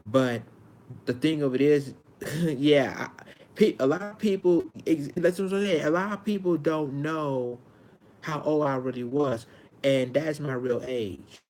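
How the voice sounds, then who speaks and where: A man in his forties from Arkansas with a high-pitched voice, talking earnestly to his viewers in a casual monologue, often repeating and restarting his phrases. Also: a little slow, a little mellow. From Arkansas, United States. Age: 40s. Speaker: vlogger.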